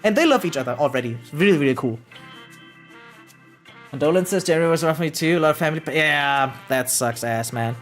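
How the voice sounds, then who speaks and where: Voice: mid-range voice, animated, brisk. A young adult streamer chatting casually with viewers, rambling and repeating words, informal and sweary, often self-deprecating. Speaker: live streamer. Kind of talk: casual chat with viewers, reading out and answering comments. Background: background music.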